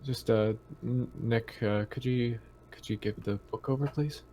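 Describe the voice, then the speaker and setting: Hesitant, mid-pitched man playing a tabletop game. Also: steady tone, measured pace, dark tone, slight background noise. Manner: hesitant, polite. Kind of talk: casual game-table chat.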